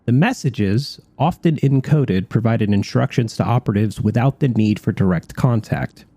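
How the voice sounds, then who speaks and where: A man's voice: mid-range voice, lively intonation, normal speed, mellow, no room sound. A man hosting a radio show in a studio, moving between chat and part-scripted narration.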